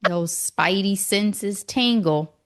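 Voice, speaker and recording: A woman's voice: mid-range voice, slow pace, fairly bright tone. A woman from Ohio with a slight Southern country twang, co-hosting a chatty podcast with dry humor. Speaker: podcast co-host. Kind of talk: casual pop-culture chat.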